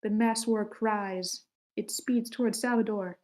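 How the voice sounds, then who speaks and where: A woman reads stage directions at a screenplay table read. Her mid-pitched voice stays fairly flat, moving matter-of-factly through short scripted sentences. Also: unhurried. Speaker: narrator reading stage directions.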